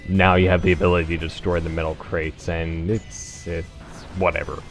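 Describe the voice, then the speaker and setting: A man giving casual video game commentary, explaining things in a hesitant, measured way. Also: deep voice, level tone. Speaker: host of a group video game playthrough. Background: video game music.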